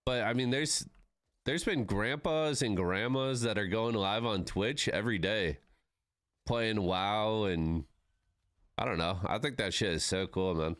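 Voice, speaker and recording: A man's voice: fairly low pitch, measured pace, a bit bright, no room sound, clean studio sound. A man in his thirties chatting casually with his live-stream viewers, laid-back and swearing freely. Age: 30s.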